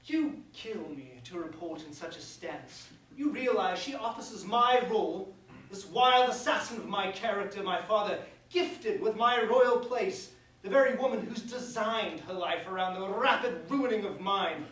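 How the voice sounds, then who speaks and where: An actor playing a pompous, bitter young prince in a staged reading of a verse comedy, declaiming formally. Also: male voice, high-pitched, animated, a little slow, a bit bright. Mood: livid, scornful. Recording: distant mic, echoey room, faint noise.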